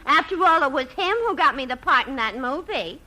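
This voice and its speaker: A woman's voice: high-pitched, animated, brisk, bright, forceful tone, low-quality recording. An actress playing a chatty, starstruck switchboard operator in a 1950s radio comedy. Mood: gossipy, teasing.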